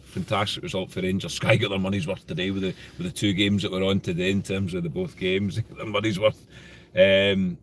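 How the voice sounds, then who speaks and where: A Scottish man co-hosting a casual football podcast, relaxed and plainspoken with hesitant ums. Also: deep voice, fairly quick, a little hiss or hum. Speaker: podcast co-host.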